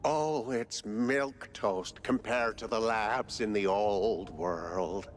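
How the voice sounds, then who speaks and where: An actor playing an eccentric, servile lab caretaker, theatrical and rambling, in a cartoon game. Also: male voice, lowish voice, slow pace, a little hiss or hum. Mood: cryptic, eerie. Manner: theatrical, babbling, obsequious.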